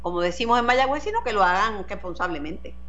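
A woman's voice: mid-range voice, expressive, fast, fairly bright tone, dull audio. A Puerto Rican woman with a Puerto Rican Spanish accent, hosting a news radio show and questioning officials in an earnest, direct way. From Puerto Rico.

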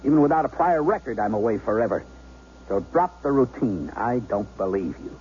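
An actor playing a hard-boiled, distrustful armed robber holding hostages, with slangy, sneering tough talk in a 1970s radio drama. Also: male voice, mid-range voice, expressive, normal speed, a little mellow, slightly rough. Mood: scornful. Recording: dull, faintly noisy 1970s radio recording.

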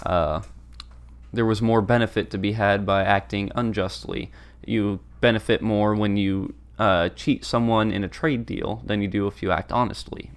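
Low-voiced man, measured explaining. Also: smooth. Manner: measured, explanatory, a few filler pauses. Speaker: podcast co-host. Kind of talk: casual discussion podcast.

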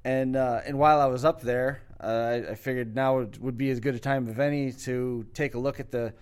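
A man co-hosting a podcast, telling a long, rambling story in a relaxed, casual way with frequent restarts. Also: mid-range voice, a little fast, clear, resonant tone. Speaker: podcast co-host.

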